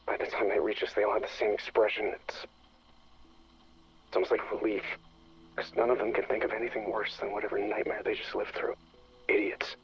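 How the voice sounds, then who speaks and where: A worn-down, bitter man recording a secret log in a video game. His voice is badly husky and full of fry, and he mutters with sardonic, guilty weariness. The recording is muffled and lo-fi. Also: lowish voice, level tone, a little fast, pauses often. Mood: jaded, weary. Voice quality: gravelly, husky. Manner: sardonic, foul-mouthed, muttering. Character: weary, bitter, guilt-ridden male prison lab staffer keeping audio logs. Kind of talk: dramatic game voice acting, confessional audio log. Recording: muffled lo-fi radio-like audio log.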